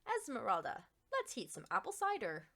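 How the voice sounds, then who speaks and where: A woman's voice: on the high side, wildly swooping pitch, normal speed, fairly bright tone, heavily acted. A woman voicing a stubborn, grumbling woman from a children's picture book, muttering and exclaiming theatrically. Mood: excited. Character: stubborn, grumbling woman fretting over unwanted things.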